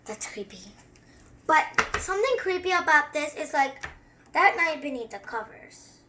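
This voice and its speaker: A young-sounding story reader who reads a picture book aloud and chats through it with expressive, wandering asides. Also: sits high, moderate pace, noisy background. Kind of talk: children's story time read-aloud with excited running commentary.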